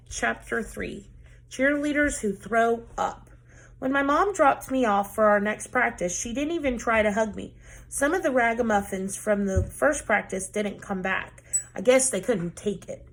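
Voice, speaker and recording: A woman teacher reading a children's book aloud in an expressive, lively storytelling manner. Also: medium pitch.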